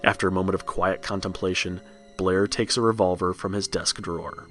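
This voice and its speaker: A man's voice: low voice, fairly even intonation, a little fast, a bit bright. A man narrating a horror tale as a scripted read-along storybook. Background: music.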